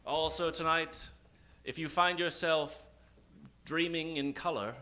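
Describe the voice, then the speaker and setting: Bright-voiced man, theatrical old-time radio announcer. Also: on the high side, fairly even intonation, some chatter behind, slight background noise. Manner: dramatic, with drawn-out suspenseful pauses and sudden emphasis. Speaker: announcer and host of an improvised radio show. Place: theater stage. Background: live keyboard music.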